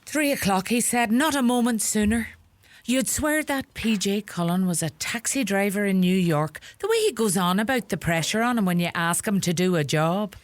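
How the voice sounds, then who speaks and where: Woman acting bossy irish mammy from donegal, radio play, scolds and gossips, really lively pitch. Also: normal speed, no echo, natural voice. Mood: proud, gossipy. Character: bossy, sharp-tongued rural Irish mother, fussing and scolding.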